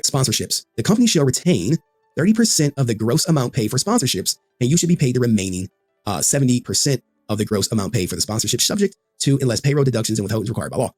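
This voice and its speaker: A man's voice: medium pitch, darkish tone, breathy edge, no echo. A man commentator reading contract clauses aloud and explaining them in a played video. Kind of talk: explaining legal documents.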